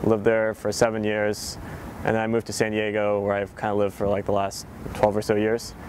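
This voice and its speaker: A man's voice: on the low side, moderate pace, slight background noise. A young man in a documentary interview, talking casually about where he grew up, with many fillers. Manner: relaxed, chatty, many fillers.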